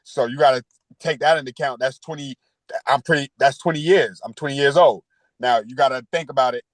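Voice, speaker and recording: A man's voice: medium pitch, brisk, no room sound, rough recording. A man in his thirties speaking candidly as an interview guest, full of street slang and "you know.". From New York. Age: 30s. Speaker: podcast guest.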